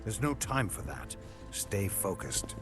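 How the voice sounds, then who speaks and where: A man's voice: low voice, sing-song, takes it slowly, loud music behind the voice, exaggerated voice. A man playing a stern, battle-hardened warrior commander in a video game, speaking in a terse, grim manner. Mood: grim, resolute. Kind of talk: dramatic video game acting.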